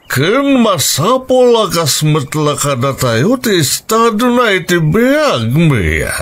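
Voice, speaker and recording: An actor playing a wealthy middle-aged businessman father in an Ilocano radio drama, with a Filipino Ilocano accent and a theatrical, drawn-out delivery. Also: sits high, wildly swooping pitch, fairly bright tone, full character voice. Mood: persuading.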